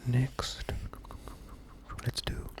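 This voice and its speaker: A man doing a slow, soft-spoken ASMR poetry reading, gentle and calming, half-whispered with a breathy, creaky voice. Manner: gentle, unhurried, calming, often repeating words. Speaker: ASMR host.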